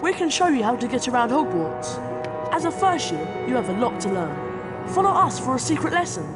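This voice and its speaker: A woman's voice: fairly high pitch, sing-song, normal speed, loud music behind the voice. A woman voicing a cheerful, mischievous schoolboy wizard who gives upbeat instructions as video game tutorial dialogue. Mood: helpful, mischievous.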